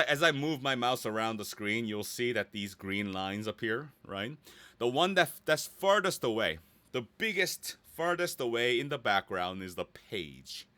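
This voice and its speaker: A man's voice: medium pitch, lively intonation, moderate pace, sharp tone, dry recording. A man teaching a casual live screen-share tutorial, chatty and playful, often checking in with his listeners. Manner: chatty, playful sound effects, checks in with "right?". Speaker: instructor, presenter.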